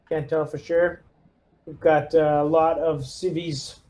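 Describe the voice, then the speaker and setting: A man playing a tabletop roleplaying game, improvising an in-character report in a halting, deliberate way. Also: on the high side, darkish tone, highs cut off.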